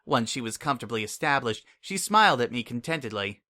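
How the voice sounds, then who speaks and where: A man reading a fantasy adventure aloud, telling it in first person at an easy, conversational pace. His voice sits mid-range with a bright, forward tone. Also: mid-range voice, sing-song, a little fast. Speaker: story reader. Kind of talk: dramatic audiobook narration.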